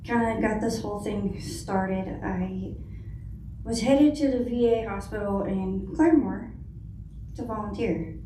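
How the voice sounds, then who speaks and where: A middle-aged woman with a soft voice, giving a hesitant personal testimony to an audience. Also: medium pitch, measured pace. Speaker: guest speaker, foundation founder. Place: indoor conference room. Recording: roomy hall, distant mic, faint noise.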